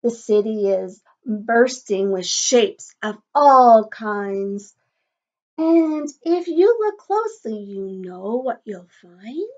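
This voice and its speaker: A woman's voice: fairly high pitch, animated, takes it slowly, a little mellow. A woman teacher reading a picture book aloud to young children, encouraging them with questions and sing-song asides, on a slightly dull recording.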